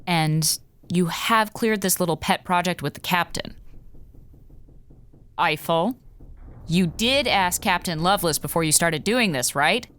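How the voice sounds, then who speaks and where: An actress playing a wary, exasperated lieutenant commander in a space audio drama, dry and measured. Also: female voice, lowish voice, fairly bright tone. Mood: skeptical.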